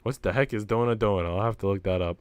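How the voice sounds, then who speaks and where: A man's voice: lowish voice, fast, clean tone, no room sound. A man livestreaming a story game, switching between reading narration aloud and slangy, sweary casual chat. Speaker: streamer reading a visual novel aloud. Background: music.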